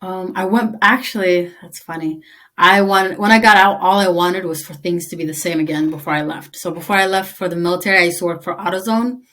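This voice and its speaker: A woman from East Africa whose first language is Tigrinya, speaking chattily and quickly as an interview guest. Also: on the low side, steady tone, a bit bright. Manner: chatty, quick, lots of "like", laughs easily. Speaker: interview guest, army veteran. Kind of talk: conversational interview.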